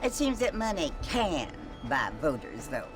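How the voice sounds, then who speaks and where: An actress playing a genteel, self-satisfied older society matron in a western video game, prim and agreeable. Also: female voice, fairly low pitch, big pitch swings, a little slow, over music. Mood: genteel, smug.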